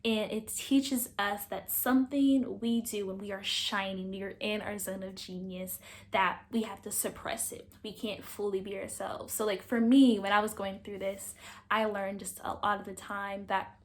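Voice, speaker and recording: A young woman hosting a casual book review, talkative and animated, projecting a loud voice. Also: medium pitch, normal speed. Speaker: book reviewer, channel host. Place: indoors, sitting against a wall.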